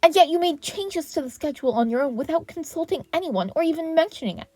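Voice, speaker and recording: A woman's voice: high voice, expressive, quick pace, no room sound, voice pushed up. Woman voicing a stern, scolding male teacher. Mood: stern lecturing. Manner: sharp, commanding, lecturing.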